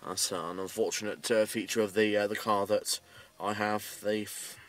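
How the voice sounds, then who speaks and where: A man's voice: lowish voice, level tone, normal speed, recorded from a distance. A British man giving a casual, matter-of-fact, rambling video update, recorded inside a parked car. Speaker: amateur radio hobbyist making a video update.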